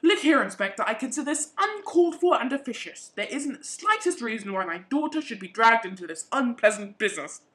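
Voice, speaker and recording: Pompous, dismissive businessman father for amateur radio play, slightly high voice played by a woman. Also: big pitch swings, fairly quick, few pauses, fairly bright tone. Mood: indignant. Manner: pompous, indignant. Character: pompous middle-aged businessman father, dismissive and self-satisfied.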